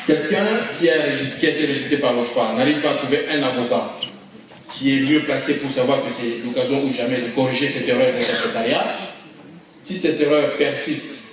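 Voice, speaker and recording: A man with a Congolese French accent speaks as a lawyer at a public conference, explaining points deliberately and didactically. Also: medium pitch. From Democratic Republic of the Congo. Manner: deliberate, didactic, rhetorical questions. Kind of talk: public talk, explanatory speech. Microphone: handheld or event microphone. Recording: very echoey hall, far from mic.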